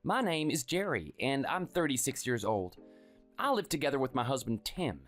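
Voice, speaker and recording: A performer voicing a meek, sickly man in his thirties who speaks softly and hesitantly, always apologizing. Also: medium pitch, big pitch swings, moderate pace, faint music behind, close to an everyday voice. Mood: wistful. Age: 30s. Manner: soft, hesitant, pleading. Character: meek sickly young husband, timid and apologetic.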